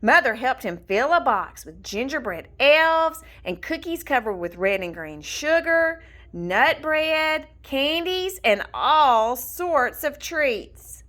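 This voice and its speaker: Bright, high-pitched woman reading a children's story. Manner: expressive, deliberate, storytelling delivery. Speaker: storybook reader. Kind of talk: scripted children's story narration, read aloud.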